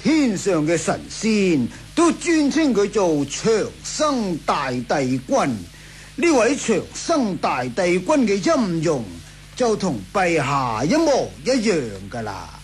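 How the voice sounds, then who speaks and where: A man playing a sly old Taoist priest in a radio drama, flattering and theatrical with drawn-out words. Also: sits high, slight background noise, exaggerated voice. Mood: sly flattery. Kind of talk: Cantonese radio drama acting, 1980s.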